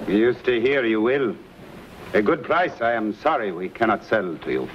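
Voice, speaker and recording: A man's voice: fairly low pitch, expressive, moderate pace, lightly acted. An actor playing a cautious, stern trapper father, formal and firm. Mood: guarded. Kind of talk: 1950s Western screen acting. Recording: muffled, hissy vintage film soundtrack.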